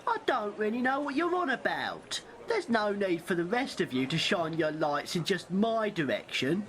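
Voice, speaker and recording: A man's voice: sits high, big pitch swings, normal speed, a bit bright. A man with a British accent playing a defensive, sardonic accused passenger in an amateur radio play. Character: defensive passenger accused of theft, protesting innocence.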